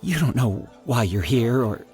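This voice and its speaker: A man narrating a scripted horror story in a formal, deliberate, theatrical storytelling manner. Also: medium pitch, animated, darkish tone, soft background music. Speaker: host and narrator of a fiction podcast.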